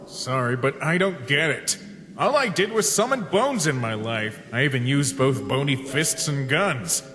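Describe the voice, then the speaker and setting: A man voicing an awkward, excitable young skeleton monster in a comic dub, hesitant and casual, prone to exclaiming. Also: medium pitch, measured pace, natural voice. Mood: confused, sheepish. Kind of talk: dramatic comic dub voice acting. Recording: long hall reverb, prominent music behind.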